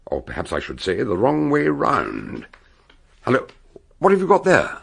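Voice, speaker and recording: Gravelly, ponderous English detective doctor. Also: male voice, mid-range voice, huge pitch swings, fairly bright tone, full character voice. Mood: wry, musing. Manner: deliberate, hesitant questioning, theatrical. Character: shrewd, eccentric, ponderous old English amateur detective doctor. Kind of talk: radio drama acting and narration.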